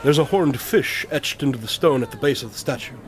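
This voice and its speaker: A man's voice: medium pitch, animated, brisk, a little hiss or hum, close to an everyday voice. A man playing an eccentric, observant detective in an audio drama, speaking in a precise, formal, absent-minded way. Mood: composed.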